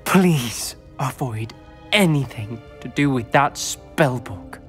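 A man's voice: mid-range voice, lively intonation, takes it slowly, soft background music. A young man with a British accent playing an anxious, principled teenage wizard in a game cutscene, grave, precise and reproachful. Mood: grave, worried. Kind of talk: video game cutscene acting.

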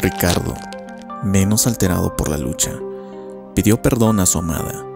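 A male narrator tells a legend in a dramatic scripted style. His voice is deep and gravelly. Faint music accompanies him. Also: moderate pace.

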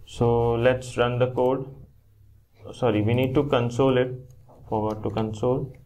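A man's voice: medium pitch, a little slow. A young man narrating a screen-recorded coding tutorial, explaining each step plainly and correcting himself now and then. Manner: steady step-by-step explaining with small self-corrections. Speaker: programming tutor.

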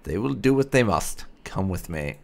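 A man's voice: deep voice, very animated, moderate pace, creaky, a crowd in the background. A man giving casual, wry commentary as he hosts a roleplay video game playthrough. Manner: wry, sarcastic asides. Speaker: gamer, host of a roleplay playthrough.

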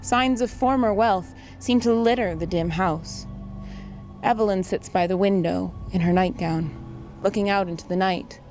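A woman's voice: mid-range voice, moderate pace, a little mellow, faint music behind. A woman reading scripted narration and stage directions for an audio drama.

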